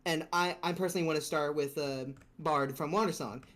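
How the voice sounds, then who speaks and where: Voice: medium pitch, brisk, fairly bright tone. Hesitant guest with repeated fillers. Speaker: stream guest. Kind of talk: casual live stream chat.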